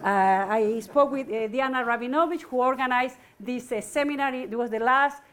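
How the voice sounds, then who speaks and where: Halting woman lecturer from Argentina. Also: fairly high pitch, fairly bright tone. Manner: thoughtful, halting with frequent fillers. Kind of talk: academic lecture. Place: university lecture room.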